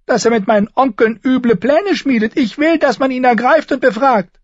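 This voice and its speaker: A man's voice: extremely high pitch, moderate pace, dry recording, dull audio, pitched above the performer's own voice. A man voicing a petulant, whiny young boy king in an audiobook. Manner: whiny, demanding.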